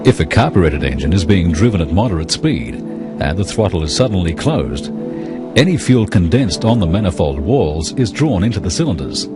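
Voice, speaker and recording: A man reading scripted technical narration for an educational film in a measured, explanatory, impersonal manner. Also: deep voice, big pitch swings, music in the background.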